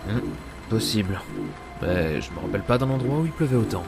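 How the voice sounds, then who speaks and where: An actor playing a gruff, weary but principled adventurer in an audio drama, grumbling and muttering to himself. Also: male voice, lowish voice, expressive, brisk, over loud music. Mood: calm, firm. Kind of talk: audio drama acting with inner monologue.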